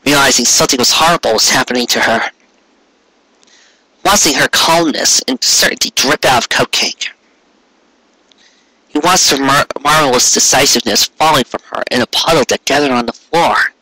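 A man's voice: mid-range voice, moderate pace, sharp tone, no echo, rough recording. A man reading a story aloud as an amateur narrator, in a theatrical, earnest storytelling style. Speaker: amateur fan fiction reader.

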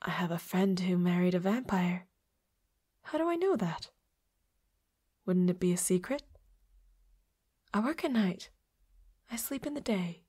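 A woman's voice: fairly low pitch, expressive, a little slow, pauses often, mellow. A woman voicing a flirty, playfully predatory vampire roommate in an intimate audio roleplay, with a coy, teasing manner. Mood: coy, secretive. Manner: coy, giggly, teasing, drops into breathy whispers.

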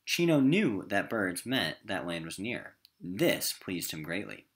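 A man's voice: deep voice, sing-song, measured pace, a bit bright, breathy edge. A man with an American accent teaching a recorded literature lesson in an emphatic, informal classroom style.

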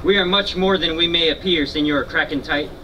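A man's voice: a little high, a little fast, pushed and bright, slight background noise. A man playing a proud, defiant Californio rancher's son in a live historical reenactment, speaking formally and boastfully. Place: outdoor stone amphitheater in open scrubland. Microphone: stand mics, recorded from a distant camera. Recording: outdoor stage from far off.

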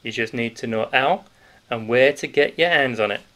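A man's voice: mid-range voice, moderate pace, a bit bright, a little hiss or hum, natural voice. A man voicing a stout, sly, cheerful male wartime grocer with a Yorkshire accent, in a knowing, conspiratorial manner. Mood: jovial.

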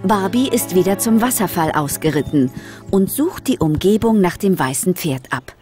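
A woman's voice: on the low side, lively intonation, normal speed, warm tone, soft background music. A woman narrating a scripted children's audio drama as a storyteller.

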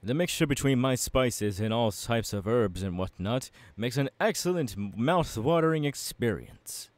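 A man's voice: medium pitch, lively intonation, moderate pace, no room sound, close to an everyday voice. A man playing a passionate, courteous young warrior mentor in an ASMR audio roleplay, speaking in an earnest, formal and encouraging way. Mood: friendly, hospitable.

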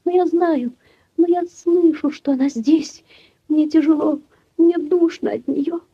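An actress playing a sorrowful young drowned water spirit in a breathy, plaintive 1940s Soviet radio style. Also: female voice, sits high, steady tone, unhurried, dark tone, no room sound. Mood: anguished, pleading. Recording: dull 1940s radio recording, faint music.

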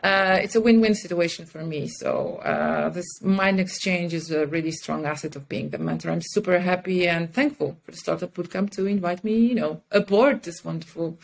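A woman's voice: lowish voice, animated, moderate pace, gravelly, hardly any pauses. A woman speaking enthusiastically and conversationally as an interview guest on a video call. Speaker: podcast guest, communications head and mentor. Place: indoor room with curtains and plants, on a video call.

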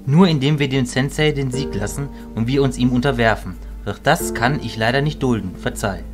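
A man playing a calm, reasoning mentor in a fantasy audio drama, measured, philosophical and reassuring. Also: medium pitch, lightly acted. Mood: frank, reassuring. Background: music.

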